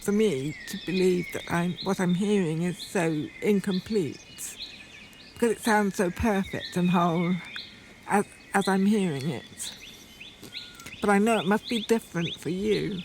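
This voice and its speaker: A woman reflecting aloud in a deliberate, unscripted way, searching carefully for her words. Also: lowish voice. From northern England. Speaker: poet, documentary subject. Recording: outdoor field recording with environmental noise. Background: birdsong and outdoor ambience.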